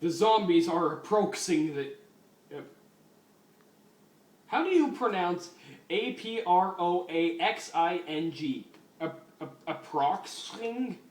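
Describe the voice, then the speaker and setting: Slow, deliberate male story reader. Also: high-pitched, animated. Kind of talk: dramatic reading of fan fiction. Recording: roomy, distant mic, music behind.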